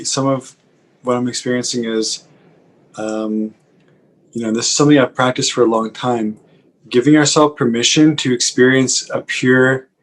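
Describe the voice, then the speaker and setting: A man with a medium-pitched voice adds to a class as co-teacher. He speaks casually and reflectively, in a measured way, feeling out his phrasing. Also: poor audio. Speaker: co-teacher adding comments.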